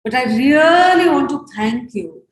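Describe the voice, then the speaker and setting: Slow-talking Indian woman in an echoey room. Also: sits high, expressive. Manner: halting, reflective. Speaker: host of post-performance conversation. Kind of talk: unscripted appreciative talk.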